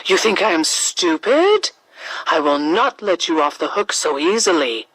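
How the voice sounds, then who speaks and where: Villain queen voiced by a woman, commanding, menacing, suspicious, swooping pitch, children's fairy tale. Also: sing-song, unhurried, fairly bright tone, slightly rough, no room sound, heavily acted, low-quality recording. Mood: angry, suspicious. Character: imperious, suspicious Queen of Hearts, quick to threaten.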